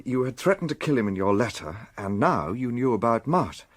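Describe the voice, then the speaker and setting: An actor playing an earnest, romantic gentleman who narrates and acts as a detective's companion in a radio drama. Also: mid-range voice, normal speed, no echo. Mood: earnest, tender. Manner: earnest, expressive.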